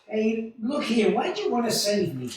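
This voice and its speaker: A man in a radio play playing a despairing, bitter and bewildered American family man who talks haltingly. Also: very high voice, very animated. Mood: bitter, sardonic. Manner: halting, sardonic, repeats himself. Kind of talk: 1940s radio play acting. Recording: very echoey room, distant microphone.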